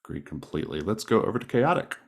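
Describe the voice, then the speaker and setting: Deep voiced guy running an online tabletop game, casual intro chat. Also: sing-song, quick pace, gravelly, breathy edge. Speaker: game master and host. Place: online group call.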